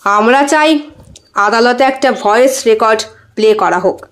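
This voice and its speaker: A woman voicing a calm, principled male defence lawyer in an audio story, measured and formal. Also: fairly high pitch, pitched below the performer's own voice, natural voice. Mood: calm, earnest.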